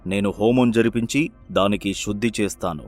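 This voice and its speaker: A man voicing a sly village holy man in an animated moral story, measured, solemn and admonishing. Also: lowish voice, soft background music, close to an everyday voice. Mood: reassuring, solemn.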